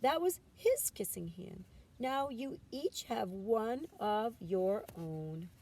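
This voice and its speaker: Woman as a tender, mellow mother raccoon. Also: fairly high pitch, lively intonation, slow. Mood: tender, reassuring. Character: gentle, loving mother raccoon, patient and reassuring. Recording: distant mic with background noise.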